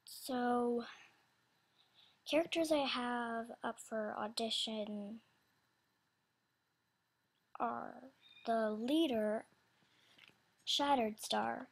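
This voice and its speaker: A woman giving a casual, unscripted announcement as an animation creator, halting and scatterbrained, often interrupting herself, in a husky, breathy voice. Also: on the high side.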